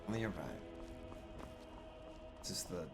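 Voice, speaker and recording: Man, low pitch, musing gamer roleplay, low-key, game music in background. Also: moderate pace. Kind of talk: in-character gameplay narration.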